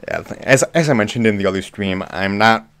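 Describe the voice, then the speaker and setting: A man hosting a live movie chat, slightly hoarse with a cough, talking casually with lots of ums. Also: lowish voice, brisk. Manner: relaxed, frequent ums and restarts. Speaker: livestream host. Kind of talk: casual live chat reading viewer comments.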